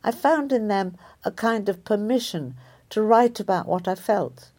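A woman, a writer reading a reflective personal essay in a measured, thoughtful way. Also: medium pitch, lively intonation, a little mellow. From Liverpool area, England.

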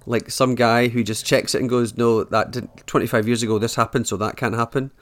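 A man's voice: mid-range voice, steady tone, a little fast. A man hosting an interview, asking long, meandering questions full of hesitations. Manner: rambling, hesitant questions with fillers. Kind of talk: conversational interview.

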